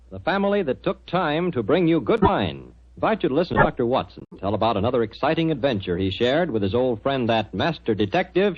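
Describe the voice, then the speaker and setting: An American man works as an old-time radio announcer and pitchman. His medium-pitched voice swoops with very lively intonation. The delivery is chummy and emphatic, on a muffled 1940s broadcast recording. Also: husky.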